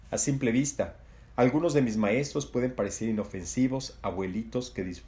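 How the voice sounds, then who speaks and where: A man narrating a literary novel as an audiobook in a measured, even delivery. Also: medium pitch.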